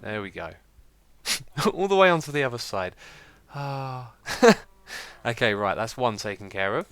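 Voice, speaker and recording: A British man giving casual, chatty gameplay commentary as a let's play host, with a slightly croaky voice and a self-mocking manner. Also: mid-range voice, lively intonation, unhurried, fairly bright tone, dry recording. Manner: chatty, self-mocking, laughs at own slips.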